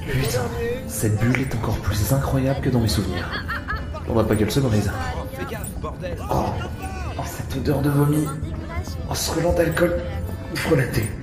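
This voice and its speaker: Reactive, easily awed explorer, male actor, exclamatory, medium pitch, audio drama with heavy score. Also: normal speed, long pauses, music in the background, lightly acted. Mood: awed, disgusted.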